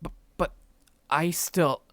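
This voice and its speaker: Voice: mid-range voice, fairly even intonation, slow, fairly bright tone, no room sound. A performer voicing a meek young man from a visual novel, hesitant and stammering. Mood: anxious, hesitant.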